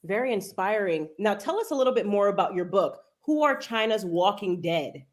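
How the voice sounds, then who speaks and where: A woman's voice: mid-range voice, expressive, moderate pace. A woman hosting a podcast, introducing and welcoming her guest and asking questions. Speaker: podcast host. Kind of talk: podcast interview.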